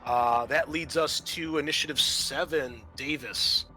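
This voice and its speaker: A man's voice: a little high, unhurried, sharp tone, soft background music, rough recording. A man narrating a tabletop roleplaying game as its game master, addressing the players.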